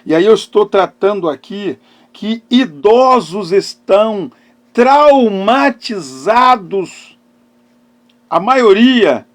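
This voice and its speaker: A middle-aged man speaks as a pastor in a notably high-pitched voice. He preaches through personal testimony, placing phrases emphatically with dramatic pauses, moving between loud stress and hushed delivery. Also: animated. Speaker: pastor and therapist hosting a live talk.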